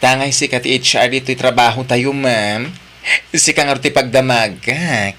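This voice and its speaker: A nosy but supportive male coworker acted by a man in an audio drama. He talks in a chummy, expressive way with an Ilocano Filipino accent. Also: medium pitch, measured pace. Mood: curious. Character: chatty, nosy, supportive male office friend.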